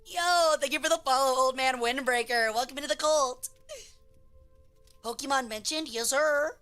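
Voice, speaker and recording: Casting: hoarse woman streamer, high bright voice, crisp, faint music under her. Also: moderate pace. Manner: chatty, reading chat aloud. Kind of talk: casual stream chat.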